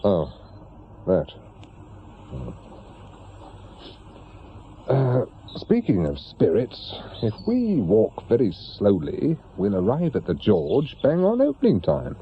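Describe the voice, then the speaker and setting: A man's voice: fairly low pitch, huge pitch swings, measured pace, full character voice. A man playing a wry, bitter middle-aged English private investigator, once a policeman, with a dry, grumbling, colloquial way of talking in a radio drama. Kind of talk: naturalistic British radio drama acting. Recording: muffled radio recording with clear background noise.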